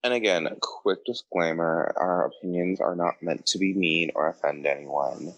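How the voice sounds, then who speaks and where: Male co-host, very deep voice, dry close recording, blunt opinionated chat. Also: normal speed, a bit bright, dry recording, low-quality recording. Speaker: podcast co-host. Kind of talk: casual opinionated podcast chat.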